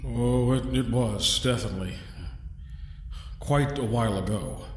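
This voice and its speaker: Man playing a slow-talking, folksy adventurer. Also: mid-range voice, reverberant, slight background noise, natural voice. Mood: wry, apologetic. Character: trapped male adventurer, folksy, polite, wry and unflappable. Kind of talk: audio drama acting.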